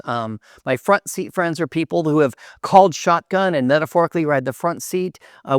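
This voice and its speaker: A man's voice: a little high, smooth, dry recording, studio quality. A man hosting his own video channel, chatting casually and enthusiastically to his viewers. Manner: upbeat, enthusiastic, chatty with fillers. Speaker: vlogger host. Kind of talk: casual chat to camera.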